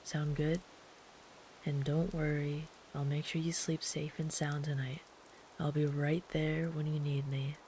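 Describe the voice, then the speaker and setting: A woman voicing a big, gentle, protective traveler camping in the woods, speaking softly and soothingly, sometimes in a whisper, as ASMR comfort roleplay. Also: low-pitched, measured pace, slight background noise, rough recording. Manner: soft, soothing, calm, at times whispered.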